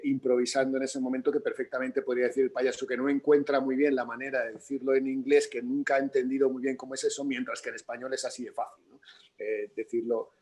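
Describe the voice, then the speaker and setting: A man's voice: medium pitch, a little mellow. A man with a Spanish accent, a theatre director speaking off the cuff in a Q&A, repeating words as he explains. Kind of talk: unscripted Q&A discussion.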